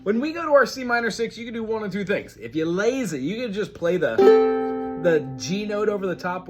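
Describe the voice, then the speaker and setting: A man teaching a casual ukulele lesson, talking through chords in a friendly, chatty way and singing along. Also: fairly high pitch. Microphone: recorded alongside his own ukulele playing. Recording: ukulele loud under voice.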